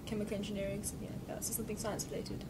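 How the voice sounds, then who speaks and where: A woman's voice: mid-range voice, flat delivery, very fast. A young woman sixth form student, born in Pakistan, thinking aloud in a candid group discussion and often trailing off. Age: young adult. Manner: thoughtful, rambling, hesitant. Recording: distant mic with noise.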